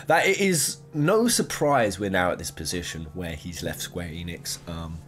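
A young man hosting a gaming video, giving opinionated commentary to camera with an animated, rambling delivery full of restarts, recorded on a desk mic in a small room. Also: mid-range voice. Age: young adult. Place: small room, gaming chair, green-screen backdrop.

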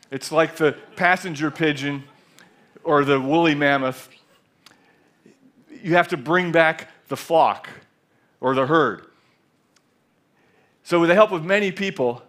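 A man in his sixties with a slightly high voice, speaking at a measured, reflective pace from a large theater stage. He picks up from small restarts and tells his story calmly through a headset mic. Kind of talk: conference talk.

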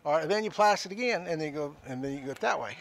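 Gravelly high-voiced older man hawking items. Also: lively intonation, fast talker, fairly bright tone. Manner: folksy, joking, describing items one by one. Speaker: auction show host. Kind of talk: casual on-air sales pitch. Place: small studio room with sale items.

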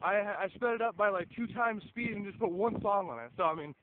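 A man's voice: high voice, brisk. A tired young man losing his voice, rambling casually while walking outdoors with a phone at arm's length. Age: young adult. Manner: rambling, filler-heavy, swears casually. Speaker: vlogger. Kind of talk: casual vlog. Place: outdoors, walking along a windy suburban road. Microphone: phone held at arm's length, earphone mic. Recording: tinny phone-like sound with wind noise.

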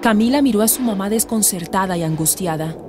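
A woman's voice: mid-range voice, fairly quick, music in the background, lightly acted. A woman narrator reading scripted narration for a radio drama. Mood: storytelling.

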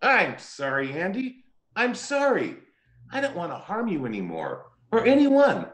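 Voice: medium pitch, huge pitch swings, a little slow. An actor voicing a killer doll who wheedles and pleads to make amends in a dramatic scene over a video call. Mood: pleading, apologetic. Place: indoor room, remote video call. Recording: roomy echoing video-call audio.

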